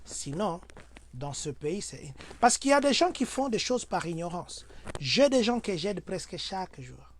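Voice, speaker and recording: A man talks through everyday advice for his community. He is earnest and preachy, and his voice jumps to loud exclamations when he makes a point. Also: high-pitched, sing-song, unhurried, a little hiss or hum. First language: Swahili. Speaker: community advisor.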